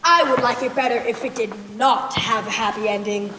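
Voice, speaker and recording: A woman's voice: a little high, fairly even intonation, fairly quick, close to an everyday voice. A young teenage girl playing a proud, brooding sultan in a school play, with formal, commanding delivery. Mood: haughty, irritated. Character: proud, brooding, imperious sultan who softens. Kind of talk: school stage play acting. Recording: echoey hall, faintly noisy stage recording.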